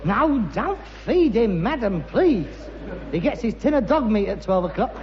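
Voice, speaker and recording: A man's voice: sits high, very animated, unhurried, heavily acted. An actor playing a bitter, pretentious rag-and-bone man in a British sitcom, with a London accent and a sarcastic, mock-grand delivery. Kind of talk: British sitcom acting before an audience. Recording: muffled, noisy, reverberant old sitcom soundtrack.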